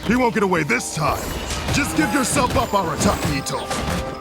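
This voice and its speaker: An actor playing a determined, gruff guard chasing a fugitive in a video game. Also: male voice, high voice, very animated, normal speed, exaggerated voice. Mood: commanding. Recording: loud game music behind.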